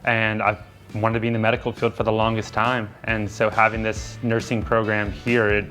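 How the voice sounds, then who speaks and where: A man's voice: on the low side, completely flat delivery, normal speed, fairly bright tone. A young man, a nursing student, speaking earnestly and reflectively in an interview, with frequent fillers. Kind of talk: documentary interview. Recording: music playing underneath.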